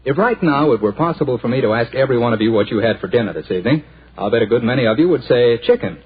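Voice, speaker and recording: A 1940s radio announcer, a man, delivers a hearty sales pitch in a husky voice that swings freely up and down. The sound is thin and tinny, like an old transcription. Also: expressive, quick pace.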